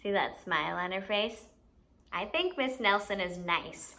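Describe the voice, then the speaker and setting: A woman's voice: mid-range voice, lively intonation, measured pace, sharp tone. A woman hosting a children's educational activity, playfully giving instructions and asking questions as if to young kids. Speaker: children's story time host and teacher.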